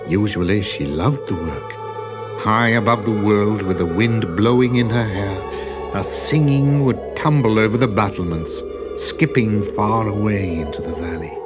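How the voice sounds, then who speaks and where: A man narrating a children's story as an expressive storyteller. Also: on the low side, a little slow. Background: music.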